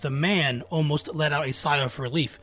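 A man's voice: fairly high pitch, normal speed. A man narrating a scripted scary folktale as a storyteller, with dramatic, suspenseful delivery. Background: background music.

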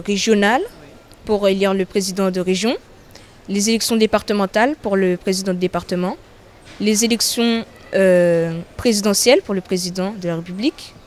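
A woman's voice: medium pitch, a little mellow. A teenage schoolgirl answering an interview question, listing items carefully one by one. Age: teens. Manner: reciting a list carefully.

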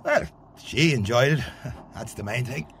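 An actor playing a devoted, bashful working man who lives with his mother, halting and self-deprecating, with an Irish accent, in a radio play. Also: mid-range voice, very animated, creaky, fairly bright tone, a little hiss or hum, heavily acted. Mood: wry, reluctant. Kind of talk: radio play acting, naturalistic dialogue.

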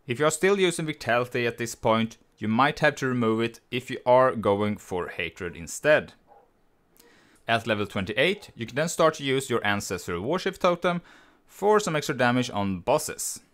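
A man with a Swedish accent, hosting a gaming channel and explaining in a voice-over how he levelled a character, relaxed and plainly worded. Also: mid-range voice. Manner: relaxed, explanatory, slightly non-native phrasing. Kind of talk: game guide voice-over. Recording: voice-over with faint music behind.

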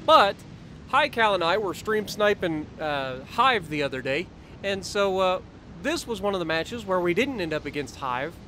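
A man's voice: high-pitched, very animated, moderate pace, sharp tone, slight background noise. Man narrating a game with a slightly off voice. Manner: explanatory, instructive, many uh fillers and restarts. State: voice slightly off, clears throat. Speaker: gameplay commentator. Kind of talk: recorded game commentary.

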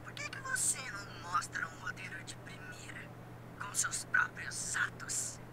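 A woman's voice: on the low side, wildly swooping pitch, a little slow, sharp tone, whispered, exaggerated voice. A woman voicing a small, theatrical, mocking villain in a Brazilian Portuguese game dub, pompous and taunting. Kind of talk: video game dub acting.

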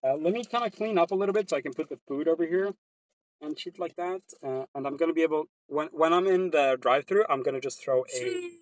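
A man's voice: fairly high pitch, fast, dry recording, poor audio. A man from Sweden with a Swedish accent, chatting casually with viewers on a live stream from inside his car, laid-back and slangy.

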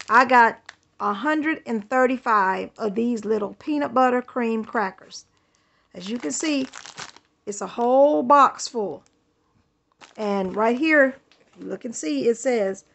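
A woman's voice: fairly high pitch, a bit bright, a little hiss or hum. A woman, chatting thankfully as she shows off groceries in her home kitchen, filmed on a handheld phone. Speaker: vlogger. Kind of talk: casual home vlog. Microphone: handheld phone camera.

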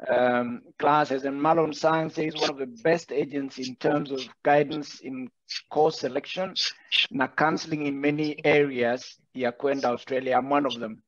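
A middle-aged Kenyan man hosting an interview over a video call, chatty and animated. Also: medium pitch, moderate pace. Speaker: host. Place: indoor room. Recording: dull, dry video call audio.

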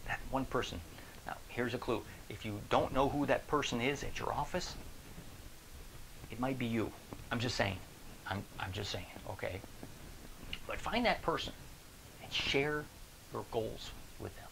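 Creaky-voiced American man, animated motivational speaker. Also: mid-range voice, unhurried, long pauses, background noise. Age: middle-aged. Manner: animated, conversational, emphatic, rhetorical questions. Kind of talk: casual motivational video talk to camera. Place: small indoor room. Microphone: lapel.

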